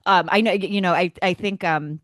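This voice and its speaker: A woman's voice: on the low side, fairly even intonation, moderate pace, fairly bright tone, no echo. A woman co-hosting a motorsport podcast, talking through a race in an enthusiastic, conversational way. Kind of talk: casual race recap chat.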